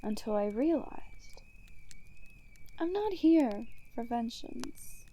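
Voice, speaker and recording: Casting: actress for gleeful female supervillain, chaos-loving, ASMR audio roleplay. Slightly high yet soft and dark, teasing, breathy. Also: animated, slow. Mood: brooding, sly. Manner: teasing, breathy, often dropping to near-whispers. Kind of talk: ASMR roleplay monologue to the listener.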